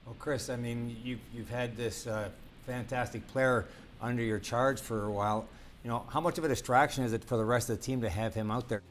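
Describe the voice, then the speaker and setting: A man's voice: medium pitch, steady tone, a little fast. A man working as a sports talk interviewer, asking questions in a conversational way. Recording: faint background noise.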